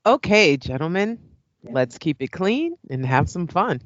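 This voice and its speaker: A woman's voice: lowish voice, sing-song, measured pace, muffled recording, heavy background noise. A woman playing a composed moderator presenting a broadcast debate in an audio play. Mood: composed, brisk. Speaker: debate show host. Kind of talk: radio play presenter acting.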